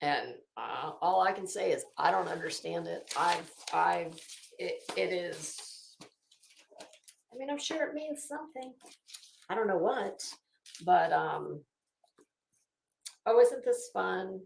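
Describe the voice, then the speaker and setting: A woman's voice: on the low side, lively intonation, slow pace, vocal fry, pauses often, slight background noise. A woman giving casual, sarcastic commentary as a livestream host, with a theatrical, exasperated and mocking delivery. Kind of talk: casual commentary on a legal case, chatting with viewers. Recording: echoey room.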